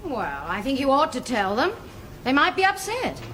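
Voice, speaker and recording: An actress playing a kindly, composed doctor in a sitcom, speaking politely and sympathetically. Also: female voice, sits high, sing-song, moderate pace, fairly bright tone, a little hiss or hum. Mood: sympathetic. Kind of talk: sitcom acting.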